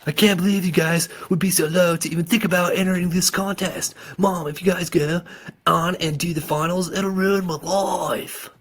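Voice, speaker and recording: A man voicing an angry, hurt teenage boy in a storybook reading, shouting high and strained. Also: steady tone, slow, heavily acted. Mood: furious.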